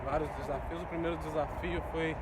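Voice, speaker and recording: A man from Minas Gerais speaks with his Minas Gerais Brazilian accent in a fairly high voice. He gives earnest advice and keeps coming back to the same phrases. Heavy traffic and city noise roar behind him outdoors. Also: level tone, a little fast. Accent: Minas Gerais Brazilian Portuguese. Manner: earnest, repeats key phrases for emphasis. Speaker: vlogger, immigrant in Portugal. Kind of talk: casual advice to camera.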